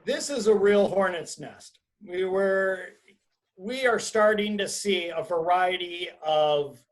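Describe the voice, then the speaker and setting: A man giving an explanatory webinar presentation as an attorney, speaking deliberately and emphatically in short phrases over a video call. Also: high voice. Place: indoors, on a video conference call.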